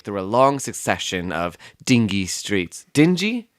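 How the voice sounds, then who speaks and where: A man's voice: fairly low pitch, big pitch swings, a little slow, heavy background noise. A man hosting a podcast from a studio, chatting casually between takes of a dramatic reading. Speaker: podcast host and narrator. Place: recording studio.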